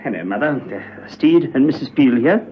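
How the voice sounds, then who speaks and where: A man plays a nervous minor official in a radio serial. He stammers and hesitates in a mid-pitched, jumpy voice. The sound is dull with audible noise. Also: animated, moderate pace, lightly acted. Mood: flustered. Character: timid, flustered male forensics official nicknamed Ferret. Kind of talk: classic spy radio drama acting. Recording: dull, noisy old radio recording.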